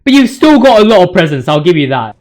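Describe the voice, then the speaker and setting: A man's voice: sits high, big pitch swings, quick pace, low-quality recording. A man giving casual, opinionated gaming commentary as a video host, ranting in slang with emphatic repetition.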